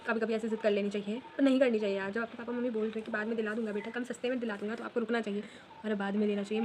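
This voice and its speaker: Fast-chatting teenage girl, noisy background. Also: mid-range voice, a little mellow. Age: late teens. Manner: chatty, fast, mixes English phrases into Hindi. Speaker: vlogger. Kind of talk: casual storytelling while drawing.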